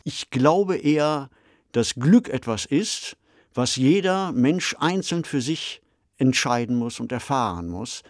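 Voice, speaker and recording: A middle-aged or older man in a reflective podcast discussion, phrasing deliberately. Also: mid-range voice, lively intonation, no room sound, clean studio sound. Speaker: podcast discussion participant, novelist.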